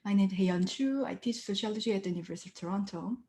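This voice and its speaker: A woman from South Korea, a sociology professor, speaks as a panelist in an earnest, reflective way with soft hesitations. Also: mid-range voice, racing. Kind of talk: panel remarks. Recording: roomy echo.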